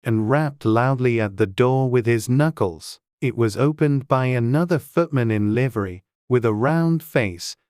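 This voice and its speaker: Slow, clear male storybook narrator. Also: mid-range voice, a little mellow, no room sound, clean studio sound. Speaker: audiobook narrator.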